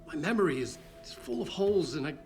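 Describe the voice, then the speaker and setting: Male actor as desperate writer, troubled delivery, dramatic game acting, higher-set male voice, clear music underneath. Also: animated, a little mellow, close to an everyday voice. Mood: urgent, troubled. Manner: halting, troubled, repeats words. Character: haunted, desperate male writer escaped from a nightmare. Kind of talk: dramatic video game acting, narration and dialogue.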